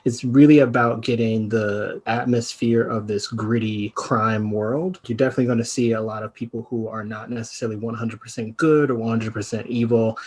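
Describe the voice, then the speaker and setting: A man's voice: medium pitch, steady tone, normal speed, hardly any pauses, darkish tone, slightly rough. A man, a theater writer, talking on a podcast discussion panel.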